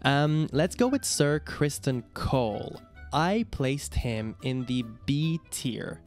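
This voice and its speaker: A man's voice: mid-range voice, sing-song, takes it slowly, soft background music. A man from Quebec whose first language is French, speaking English with a Québécois French accent as a YouTube host, talking casually and hesitantly with many fillers. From Quebec, Canada. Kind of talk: casual ranking commentary.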